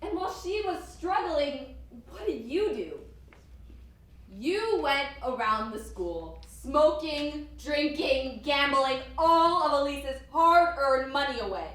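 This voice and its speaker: A woman acting an accusing, protective best friend in a stage play, speaking with emphatic dramatic pauses, recorded from afar in an echoey hall. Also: high-pitched, animated, a bit bright, exaggerated voice. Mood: accusing, impassioned. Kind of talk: student one-act stage play acting. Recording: very echoey hall, far mic, faint noise.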